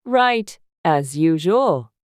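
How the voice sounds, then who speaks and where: Slow, pompous, moralizing Duchess. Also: female voice, sits low, lively intonation, smooth, no room sound, clean studio sound, voice pushed up, heavily acted. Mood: agreeable. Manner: pompous, drawn-out sententious delivery. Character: affectionate, moralizing, ugly Duchess, agreeable and pompous. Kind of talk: dramatized storybook audiobook acting.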